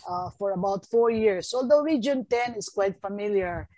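A woman in her sixties with a Filipino accent, recalling her long career in measured, hesitant speech. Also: mid-range voice, warm tone, no room sound. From Philippines, Mindanao. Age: 60s. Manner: measured, hesitant with many fillers. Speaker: retired education official, guest. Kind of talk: reflective interview answers.

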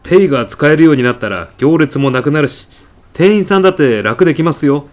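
A man plays an eccentric supermarket customer in a comedy radio sketch. He is insistent and absurdly self-assured, pressing his case like a salesman, with very lively swings of pitch. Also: fast talker, lightly acted. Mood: indignant, pressing. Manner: insistent, indignant salesman-like patter. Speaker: podcast actor. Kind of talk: comedy radio drama acting.

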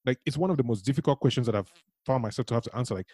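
Give me a man talking quickly in a warm, mellow tone, reflecting as a guest. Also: medium pitch, breathy edge, dry recording, studio quality. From Cameroon. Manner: thoughtful, rambling, many restarts. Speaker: guest, writer. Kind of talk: reflective conversation.

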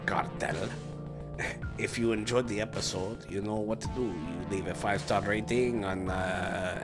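A man co-hosting a podcast, rambling casually through the sign-off with lots of fillers and restarts. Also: mid-range voice. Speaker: podcast co-host.

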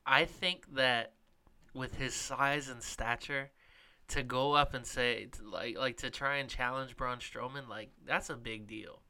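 A man co-hosting a sports podcast, rambling casually and letting his sentences trail off. His voice is bright and smooth. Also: mid-range voice, steady tone, unhurried. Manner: rambling, filler-heavy, trails off quietly. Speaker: podcast co-host.

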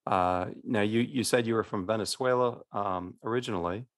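A man's voice: fairly low pitch, normal speed. A man co-hosting a podcast as a parish priest, talking casually and rambling with restarts. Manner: rambling, many restarts and fillers.

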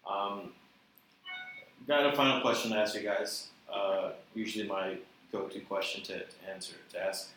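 A man's voice: fairly low pitch, moderate pace. A man hosting a casual interview, loose and affirming, asking long rambling questions. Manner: relaxed, loose, affirming with "gotcha" and "mm-hmm". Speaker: interviewer host. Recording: echoey room, distant mic, light noise.

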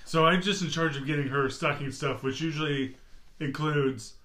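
A man's voice: a little high, steady tone, moderate pace, sharp tone. A man chatting casually and playfully, often breaking into laughter. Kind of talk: casual chat.

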